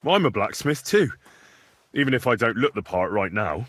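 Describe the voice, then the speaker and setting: Polite young game commoner, husky, lively pitch. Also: male voice, moderate pace. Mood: earnest. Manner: polite, plain-spoken. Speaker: player character. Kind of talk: video game voice acting.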